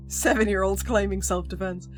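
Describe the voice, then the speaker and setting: A woman streamer reading a visual novel's text aloud in an even voice, breaking off for playful asides to her viewers. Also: mid-range voice, moderate pace. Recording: music playing clearly behind the voice.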